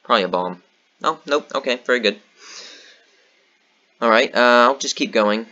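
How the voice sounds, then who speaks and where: A man's voice: medium pitch, normal speed, dull audio. A man giving casual commentary on his own board game match, thinking aloud with lots of ums and uhs. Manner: thinking aloud, relaxed, many fillers.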